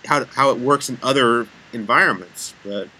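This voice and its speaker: Bright-voiced man rambling about code. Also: medium pitch, normal speed, slightly rough, poor audio. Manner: rambling, self-deprecating, laughs often. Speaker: podcast co-host. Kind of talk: casual tech chat.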